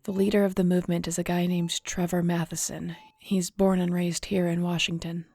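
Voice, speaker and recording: A woman's voice: on the low side, level tone, fairly quick, a little mellow. A woman acting in an audio roleplay as a weary, guilt-ridden shifter investigator, speaking in a somber, conversational, confiding way. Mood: matter-of-fact briefing.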